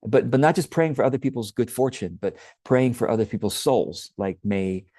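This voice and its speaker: A middle-aged man from Taiwan hosting his own channel, reflecting aloud in a halting, thoughtful way with many restarts. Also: mid-range voice, mellow, no echo, studio quality. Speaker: channel host. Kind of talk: reflective personal monologue.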